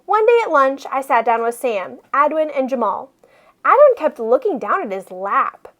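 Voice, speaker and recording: A woman reading a children's picture book aloud expressively, with deliberate phrasing for young listeners. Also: high-pitched, big pitch swings, a bit bright. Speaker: read-aloud storyteller.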